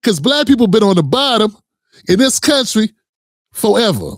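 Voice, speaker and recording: Commentary host, high male voice, heated and profane, dry close sound. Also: sing-song, moderate pace, no room sound. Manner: heated, emphatic, profane ranting; addresses viewers directly. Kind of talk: reaction commentary.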